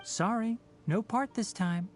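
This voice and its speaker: A man voicing a composed, stern yet caring young forest ranger in an anime video game, with a measured, instructive manner. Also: sits high, soft background music. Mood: matter-of-fact.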